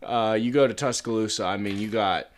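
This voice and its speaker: A man's voice: fairly low pitch, brisk. A young man in his twenties from Pittsburgh, co-hosting a casual podcast from an attic, joking in a blunt, crude way. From Pittsburgh, Pennsylvania. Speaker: podcast co-host. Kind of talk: casual chat.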